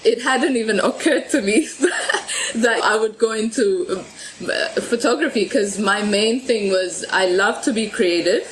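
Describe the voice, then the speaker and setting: A woman's voice: fairly high pitch, unhurried, rough recording. Chatty woman guest with faint noise. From Ethiopia. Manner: warm, laughs often, restarts words. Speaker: podcast guest, photographer. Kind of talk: conversational interview.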